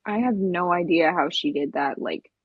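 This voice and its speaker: Young woman, lower-pitched and slightly husky. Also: normal speed, no echo, highs cut off. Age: young adult. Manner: earnest, reflective, frequent "like" and "just". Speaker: talk show panelist. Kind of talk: casual discussion.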